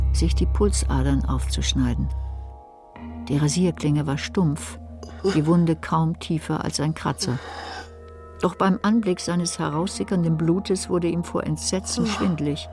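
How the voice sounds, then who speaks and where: A woman's voice: fairly low pitch, a little slow, mellow. A woman acting as the narrator of a radio drama, telling a grim story in a sober, literary manner. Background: guitar music.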